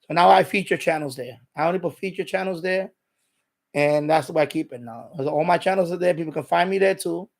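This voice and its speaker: Male streamer, middle-aged, slightly high voice with heavy fry; casual, repetitive, explanatory delivery. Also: a little fast. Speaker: live stream host. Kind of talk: casual unscripted live stream chat.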